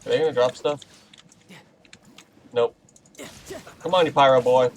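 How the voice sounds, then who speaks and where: Casual man commentating on gameplay, medium pitch. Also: fairly even intonation, measured pace. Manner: playful, informal asides. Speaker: gaming video host. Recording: faint game noise behind. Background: video game sounds.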